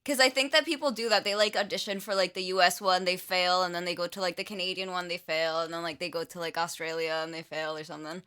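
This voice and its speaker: A woman's voice: fairly low pitch, fairly even intonation, quick pace, few pauses, sharp tone, smooth. A woman co-hosting a music review stream, playful and giggly in casual chat.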